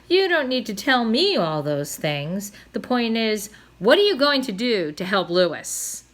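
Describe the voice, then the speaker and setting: A woman's voice: fairly high pitch, big pitch swings, unhurried. A woman voicing a practical, mildly exasperated mother swan in a storybook read-aloud, dry and matter-of-fact. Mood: impatient.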